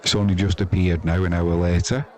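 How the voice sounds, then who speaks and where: A man's voice: low-pitched, little pitch movement, a little fast. A man with an English accent giving chatty, wry, self-deprecating amateur live commentary on cricket. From England.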